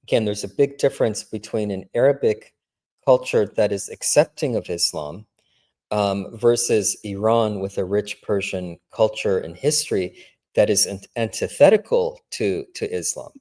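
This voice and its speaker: A man's voice: lowish voice, moderate pace, warm tone. A man hosting a reaction show, breaking in with emphatic commentary aimed at his viewers. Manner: direct, emphatic asides to the audience.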